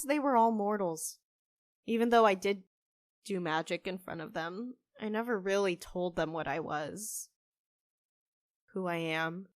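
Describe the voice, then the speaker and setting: Woman acting an unhurried, earnest young witch. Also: medium pitch, dry recording, clean studio sound. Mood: earnest, wistful. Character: earnest, hopeful young female witch running a cafe. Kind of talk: audio drama acting.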